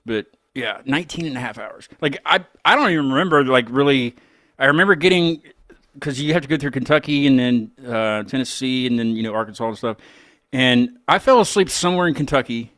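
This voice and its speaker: A man's voice: mid-range voice, lively intonation, a little fast, a bit bright, no room sound. Casting: American male interview host, mid-range voice, informal and rambling, dry close recording. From United States. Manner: rambling, informal, mild swearing. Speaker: interviewer, music show host. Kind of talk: casual chat.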